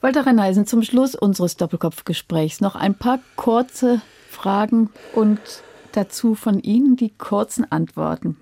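Lady radio host, mellow voice, dry studio sound, polite and measured interview questions. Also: mid-range voice, expressive, vocal fry, dry recording.

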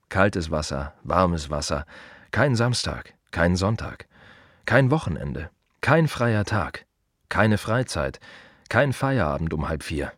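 An actor playing a plain-spoken, reflective gravedigger in a radio play monologue, talking to the listener in short, repetitive, wry phrases. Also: male voice, low-pitched, dry recording, studio quality, exaggerated voice. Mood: matter-of-fact, wry.

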